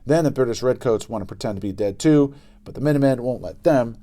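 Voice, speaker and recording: A man narrating a children's book aloud in an expressive style with dramatic emphasis. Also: medium pitch, fast talker. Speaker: storybook reader.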